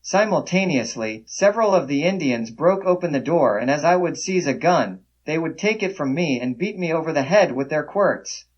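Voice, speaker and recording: Husky frontier memoirist read by a man, dull recording. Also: fairly high pitch, expressive, moderate pace, few pauses. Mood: solemn, grave. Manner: formal, old-fashioned florid storytelling. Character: man recounting his frightening boyhood frontier ordeal, solemn memoirist. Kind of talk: dramatic reading of an old memoir. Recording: dull, band-limited sound.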